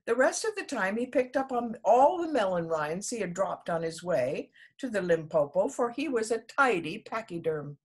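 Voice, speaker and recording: A woman storyteller reading a children's story aloud expressively, in a husky voice. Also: mid-range voice, very animated, normal speed, few pauses.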